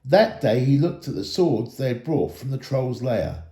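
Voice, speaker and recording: A man reading a children's story aloud in an expressive storytelling style. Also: mid-range voice, a little slow, roomy sound. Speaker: storybook reader, school staff member.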